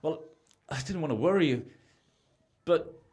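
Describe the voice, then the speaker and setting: A man's voice: a little high, lively intonation, measured pace, a little mellow. Young man acting as a stressed, secretive British husband. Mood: gloomy confession. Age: young adult. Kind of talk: amateur radio play acting.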